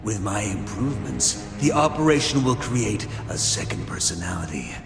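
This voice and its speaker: A megalomaniac scientist villain from a video game with a fairly low voice. He gloats coldly and theatrically, stretching key words with smug satisfaction. The voice echoes, with music clearly playing underneath. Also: sing-song. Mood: coldly explaining. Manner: theatrical, gloating, drawn-out emphasis.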